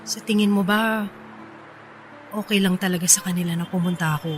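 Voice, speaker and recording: An actress playing a proud, easily irritated but bashful young office boss in a Filipino radio drama, with a Filipino accent. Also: female voice, on the low side, steady tone, fairly quick, faint music behind, close to an everyday voice. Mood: hesitant, bashful. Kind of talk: radio drama acting in Taglish.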